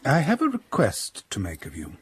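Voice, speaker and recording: A man playing a stern, cold young clergyman in a radio drama, speaking in a formal, measured, reserved way. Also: mid-range voice, huge pitch swings, soft background music.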